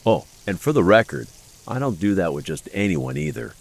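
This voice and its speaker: A man playing a suave, secretive inventor with a gravelly voice in an audio drama. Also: lowish voice, big pitch swings, moderate pace, exaggerated voice. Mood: smooth, amused. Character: suave, secretive male American inventor of Cheyenne roots.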